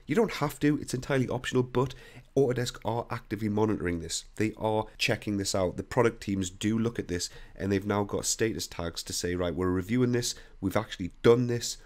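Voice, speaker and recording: A young man with a croaky voice, bluntly talking through a CAD software screen recording. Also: on the low side. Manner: blunt, opinionated, conversational. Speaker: CAD software tutorial host.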